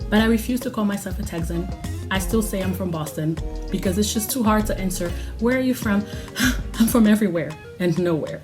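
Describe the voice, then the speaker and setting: A woman, a Lingala speaker, chatting casually to camera at home and laughing often. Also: lowish voice. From Democratic Republic of Congo. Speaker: vlogger. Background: background music.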